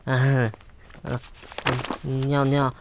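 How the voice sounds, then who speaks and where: An actor in an audio drama playing a drunken, loud, foul-mouthed young male writer who gets childishly needy, slurring his words. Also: mid-range voice, monotone, extremely slow, vocal fry, noisy background. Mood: drunken boasting, whiny.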